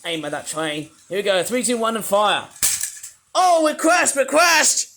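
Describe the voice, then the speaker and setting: Man, high pitch, rambling playful toy reviewer, voice a bit under the weather, sudden excited shouts. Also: measured pace, creaky, a little hiss or hum. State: voice not fully well. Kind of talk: casual toy review vlog.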